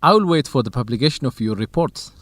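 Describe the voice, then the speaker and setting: A man's voice: mid-range voice, expressive, fast talker, no echo, close to an everyday voice. An actor playing an earnest, lovesick young male student researcher in an educational radio drama, talking in plain, simple sentences. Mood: earnest, doubtful.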